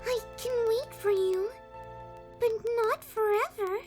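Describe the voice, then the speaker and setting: A woman voicing a timid, gentle young female pony, soft, whispery and halting, in a fan audio drama. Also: very high voice. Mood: tearful, tender. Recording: music behind the voice.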